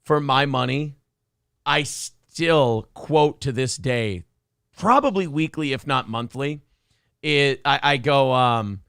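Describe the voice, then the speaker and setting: A man's voice: mid-range voice, slow pace, vocal fry, fairly bright tone, smooth, dry recording, studio quality. A man hosting a casual talk show, rambling and profane, breaking into silly quoted voices now and then. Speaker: podcast host.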